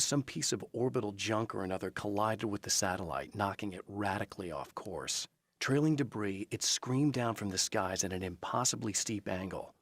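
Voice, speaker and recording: A serious writer hero in a video game. His voice is low and measured, earnest in tone, and recorded very dry and close. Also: dry recording. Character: earnest, serious male writer turned fighter against darkness. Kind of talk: video game acting, dialogue and reflective monologue.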